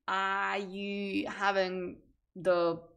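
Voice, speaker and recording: A woman's voice: medium pitch, drawn-out words, creaky, clear, resonant tone. A young woman from Spain with a Spanish accent, chatting casually and animatedly with her stream viewers from a room at home. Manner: animated, gestures while talking, chats with viewers. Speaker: streamer, manuscript researcher. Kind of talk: casual livestream chat.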